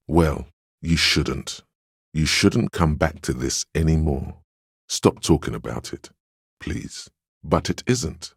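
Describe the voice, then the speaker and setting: A grave inner voice of willpower in a detective game, super deep, dry sound, weary and admonishing, bit sardonic. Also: male voice, darkish tone, no room sound, exaggerated voice. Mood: pleading, firm. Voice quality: very deep, smooth, resonant. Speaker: voice actor in a video game. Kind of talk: scripted game dialogue and narration.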